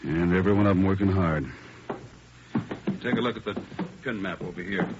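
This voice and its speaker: An actor playing a brisk, businesslike police captain in a 1940s radio drama. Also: male voice, deep voice, noisy background, lightly acted. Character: brisk police captain going over crime map. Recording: muffled old radio broadcast.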